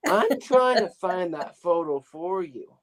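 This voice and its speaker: A man's voice: high-pitched, very animated, slow, crowd noise. A man chatting casually and with good humor over a video call. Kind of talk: casual chat.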